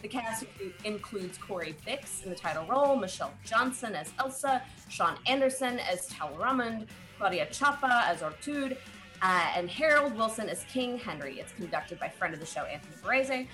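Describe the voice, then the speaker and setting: A woman's voice: medium pitch, lively intonation, moderate pace, sharp tone, faint music behind. A woman co-hosting an opera talk show, chatting in a playful, bubbly way. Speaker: co-host. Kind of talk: casual chat.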